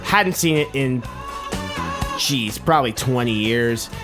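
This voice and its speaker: A man's voice: mid-range voice, takes it slowly, music in the background. A man in his thirties hosting a casual comedy podcast, chatting informally and swearing freely. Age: 30s. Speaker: podcast host.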